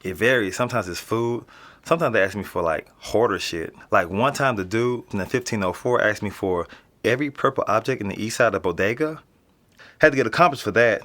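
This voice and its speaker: Man playing a nonchalant, low-voiced American teenage boy. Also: a little fast, fairly bright tone, close to an everyday voice. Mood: casual. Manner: casual, slangy, offhand. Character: nonchalant streetwise teenage boy living in an apartment building. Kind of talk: naturalistic audio drama interview.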